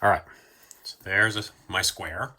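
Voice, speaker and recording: A man's voice: sits low, steady tone, a little slow, pushed and bright. A man hosting a homemade drawing video for kids, talking casually and thinking aloud in an offhand way. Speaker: host of a children's storytime and drawing show.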